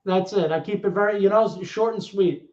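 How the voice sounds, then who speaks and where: A man, telling stories as a guest in a casual interview chat. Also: high-pitched. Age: 30s. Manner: animated storyteller with quick asides. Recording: echoey lo-fi call audio.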